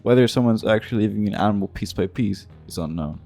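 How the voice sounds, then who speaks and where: Male narrator, lowish pitch, casual scripted gaming commentary, irreverent delivery, guitar music bed. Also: quick pace, gravelly, warm tone. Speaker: video essay narrator. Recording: music behind the voice.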